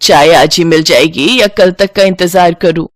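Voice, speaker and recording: A woman voicing a demanding middle-aged mother-in-law, impatient and sarcastic, calling out loudly. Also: lowish voice, normal speed, no echo, studio quality, natural voice.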